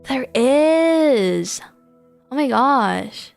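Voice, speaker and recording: A woman's voice: high voice, animated, drawn-out words, vocal fry, a little mellow, no echo. A woman chatting casually on a gaming livestream in a giggly, rambling way. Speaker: live streamer. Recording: music behind the voice. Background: background music.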